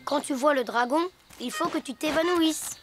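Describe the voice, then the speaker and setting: A woman voicing a gentle little boy hippo. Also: sits high, moderate pace, lightly acted. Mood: patient. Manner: patient, explaining, gallant in play. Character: kind young male cartoon hippo, gentle and thoughtful. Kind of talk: animated series voice acting. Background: background music.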